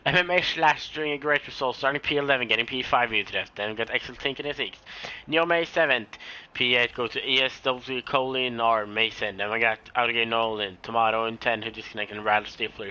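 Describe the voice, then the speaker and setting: A man's voice: mid-range voice, fairly even intonation, fairly quick, few pauses, bright, forceful tone, low-quality recording. A man with a Norwegian accent, a second-language English speaker, doing solo live commentary of a sim race, hesitant with many fillers and self-corrections.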